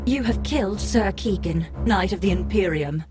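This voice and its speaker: A man's voice: high voice, moderate pace, voice pushed up, close to an everyday voice. A male narrator voicing the impersonal system voice of a video game world, announcing damage and kills. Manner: flat, announcing tone. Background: background music.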